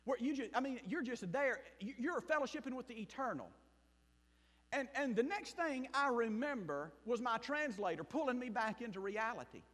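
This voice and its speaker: Man, Southern Mississippi accent, very high voice, lively swinging pitch, emphatic preaching, long reverb of a church hall. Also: normal speed. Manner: emphatic, swings between loud bursts and hushed asides. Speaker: missionary pastor. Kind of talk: sermon with personal testimony.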